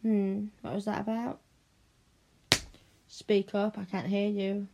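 A woman's voice: mid-range voice, level tone, normal speed, vocal fry, highs cut off, heavy background noise. A woman performing a scripted audio roleplay, domineering and teasing, often dropping into whispers.

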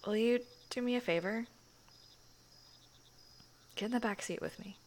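A young woman voicing a shy, sweet churchgoing girlfriend in an intimate audio roleplay, speaking softly with hushed, hesitant phrasing and frequent giggles. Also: on the high side, moderate pace, a little hiss or hum, natural voice. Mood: shy, nervous.

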